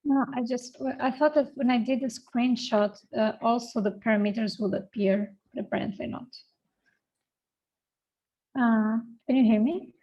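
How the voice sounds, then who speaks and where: A woman's voice: a little high, steady tone, normal speed, mellow. Tentative woman on a dull call line. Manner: tentative, questioning. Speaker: workshop participant.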